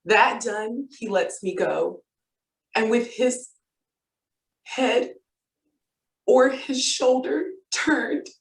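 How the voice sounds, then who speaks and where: A young woman playing a frightened young noblewoman in a Shakespearean stage monologue, with a formal, measured, dramatic delivery. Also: on the high side, lively intonation, creaky, full character voice. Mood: troubled, sorrowful. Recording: roomy, distant microphone.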